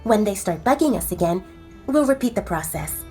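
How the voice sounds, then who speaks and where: A woman's voice: medium pitch, expressive, normal speed, darkish tone, faint music behind, lightly acted. A woman voicing a blunt, confident young she-wolf fashion model in an audio drama, speaking directly and persuasively.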